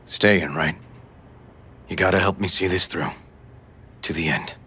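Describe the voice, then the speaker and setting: An actor playing a gruff, determined detective and protective uncle in a video game, sounding low and weary. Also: male voice, a little slow, sharp tone, heavily acted. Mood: earnest, subdued.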